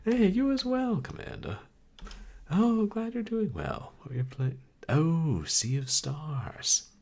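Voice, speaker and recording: A man live-streaming a video game, talking calmly and softly, with a rambling, casual flow full of fillers. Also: on the high side, slow pace, gravelly. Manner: soft-spoken, calm, rambling with fillers.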